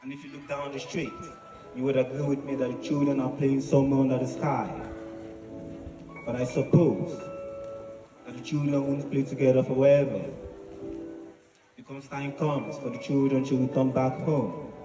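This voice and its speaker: Male monologist, solemn declamatory oratory, measured phrasing; roomy, slightly distant recording over music. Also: mid-range voice, little pitch movement. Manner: solemn, deliberate, declamatory with pauses. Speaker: spoken word monologist. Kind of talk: poetic dramatic monologue, oratory. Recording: echoey, distant voice with music behind.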